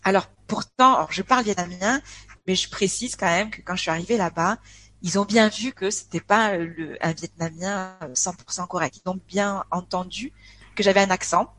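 A woman's voice: mid-range voice, moderate pace, a bit bright, slight background noise. Hesitant French woman in her forties. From France. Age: 40s. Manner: hesitant, with many filler sounds and restarts. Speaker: podcast guest. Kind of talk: casual interview chat.

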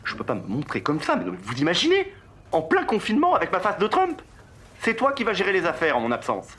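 A man's voice: high-pitched, wildly swooping pitch, a little fast, a bit bright, full character voice. Guy doing a vain snappy president in an audio satire, sarcastic, voice jumps all over, bit of noise behind. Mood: resigned. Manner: sarcastic, haughty. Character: vain, irascible male president lashing out at his ministers. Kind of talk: satirical audio drama acting.

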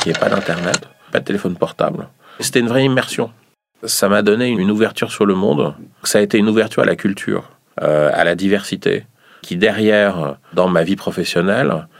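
A man, recounting his career in a measured, explanatory interview style. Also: low voice, gravelly, no room sound. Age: 50s. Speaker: finance professional interviewee. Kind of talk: reflective interview account.

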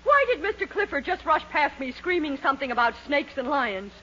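Shrill, swooping, brusque landlady. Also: animated, a little fast, a little hiss or hum. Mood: alarmed. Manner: sharp, indignant. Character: brusque, grasping middle-aged landlady mother. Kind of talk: 1940s radio sitcom acting. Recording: muffled 1940s radio recording.

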